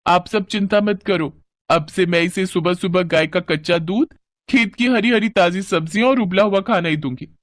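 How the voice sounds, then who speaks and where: A man's voice: high-pitched, moderate pace, no room sound, close to an everyday voice. A man voicing a teasing, sensible village girl, the bossy elder sister in a cartoon story. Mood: firm. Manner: taunting, bossy.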